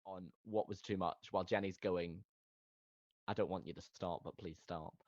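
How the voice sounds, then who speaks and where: A man's voice: deep voice, fairly even intonation, moderate pace, darkish tone, highs cut off, slight background noise. A man joking around in casual banter, playfully slipping into mock voices.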